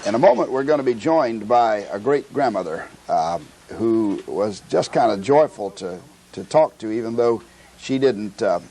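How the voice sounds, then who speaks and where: An older man with an American accent, giving a halting, reflective, conversational voice-over for a family travel video. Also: on the low side, animated, mellow, slight background noise, rough recording.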